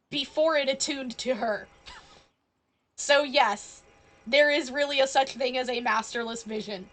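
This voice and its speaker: A woman's voice: sits high, fairly bright tone, poor audio. A woman streaming a game live, casually answering the characters in a playful, emphatic way. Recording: game music behind.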